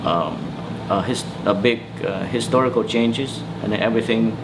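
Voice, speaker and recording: A man in his forties from Vietnam with a Vietnamese accent, recounting memories in a halting, hesitant way as he searches for words in an interview. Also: lowish voice, vocal fry, background noise. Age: 40s. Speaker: interviewee, musician. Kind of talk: oral history interview, personal recollection. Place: small bedroom, indoors.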